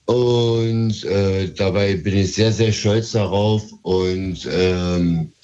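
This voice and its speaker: Guest, Berlin man, Turkish first language, slow halting delivery with many fillers, lowish voice, dull lo-fi recording. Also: fairly even intonation, warm tone. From Berlin, Germany. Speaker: guest, DJ and producer. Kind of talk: casual interview chat.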